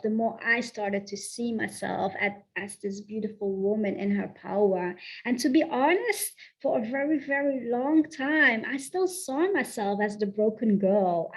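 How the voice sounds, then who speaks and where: A woman from the south of the Netherlands with a Dutch accent, speaking slowly and softly as a spiritual coach in a channeled guided talk, repeating her affirmations. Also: a little high, low-quality recording. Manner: slow, soft, affirming, repeats phrases.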